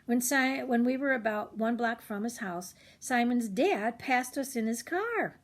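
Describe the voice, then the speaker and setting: A woman's voice: fairly high pitch, expressive, unhurried. An older woman reading a children's chapter book aloud expressively, slipping in chatty little asides to young listeners. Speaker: children's read-aloud host.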